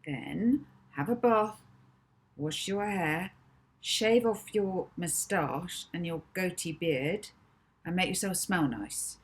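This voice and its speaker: Woman as young storybook girl, confident, bossy, deliberate, self-assured. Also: on the low side. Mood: commanding. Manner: deliberate, instructing. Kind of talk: children's storybook character dialogue.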